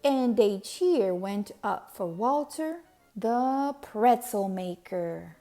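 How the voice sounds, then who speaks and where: A woman reading a children's storybook aloud with expressive, deliberate emphasis on key words. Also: mid-range voice, faint music behind. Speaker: storybook reader.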